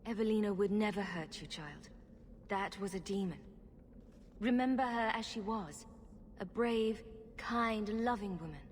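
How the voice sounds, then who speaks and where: An actress playing a resolute, compassionate heroine in a fantasy video game. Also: female voice, medium pitch, measured pace, pauses often, a little hiss or hum, close to an everyday voice. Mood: gentle, consoling.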